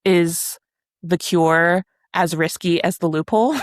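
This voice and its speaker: A woman's voice: lowish voice, takes it slowly, no echo, studio quality. A woman co-hosting a podcast, chatting casually about books with a wry, sometimes sweary manner. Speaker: podcast co-host. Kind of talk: casual book discussion chat.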